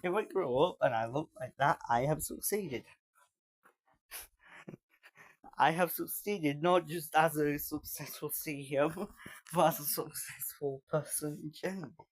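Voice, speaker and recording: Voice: mid-range voice, a little slow, pauses often, dry recording, poor audio. A teenage live streamer with a British accent from Manchester, rambling casually and laughing often while reacting to videos. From England.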